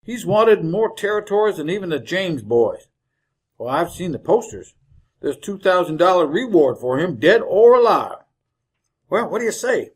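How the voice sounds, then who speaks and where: An actor playing a greedy, folksy wagon driver in an old-time radio western, talking in blunt rustic cowboy speech. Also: male voice, high-pitched, expressive, moderate pace, slightly rough. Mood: scheming, eager. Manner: drawling rustic cowboy grammar, blunt, jovially callous.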